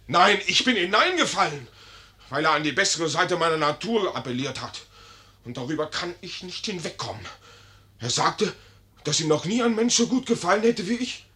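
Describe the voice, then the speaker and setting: An actor playing a young, hot-headed and naive army lieutenant, indignant and declamatory, in a 1950s German radio play. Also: male voice, a little high, animated, normal speed, sharp tone. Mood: wounded pride.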